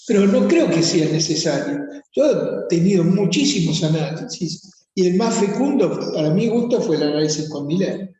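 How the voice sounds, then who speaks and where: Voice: mid-range voice, expressive, normal speed, dark tone. A speaker with an Argentine accent, a psychoanalyst reflecting on theory in a seminar discussion. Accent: Argentine Spanish. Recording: very echoey hall, long reverb.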